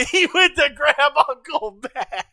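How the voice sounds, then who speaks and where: A man streaming, giving crude, casual reaction commentary, swearing constantly and breaking into loud laughter and shouts. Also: extremely high pitch, expressive, slow, a little hiss or hum.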